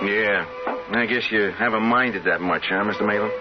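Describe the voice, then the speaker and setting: A man's voice: on the low side, moderate pace, close to an everyday voice. An actor playing a restless, brash young working man, streetwise and down on his luck, in a radio drama. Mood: defensive, determined. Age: young adult. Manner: streetwise, slangy, insistent. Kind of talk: 1970s radio drama acting. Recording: muffled old radio broadcast, music behind.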